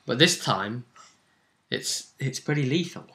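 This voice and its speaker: A man retelling a tabletop roleplay session as game master, in animated, emphatic conversational storytelling. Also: medium pitch, unhurried.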